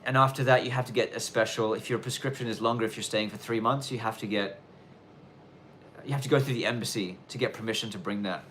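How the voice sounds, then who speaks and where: A man in his thirties from Australia, with a mixed Australian and London accent and a touch of Irish twang, chatting casually as he answers viewer questions on a live stream, often restarting sentences and taking care to speak clearly. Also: on the low side, steady tone, fairly bright tone, a little hiss or hum. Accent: mixed Australian and London, with some Irish twang. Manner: rambling, self-correcting, deliberately clear delivery.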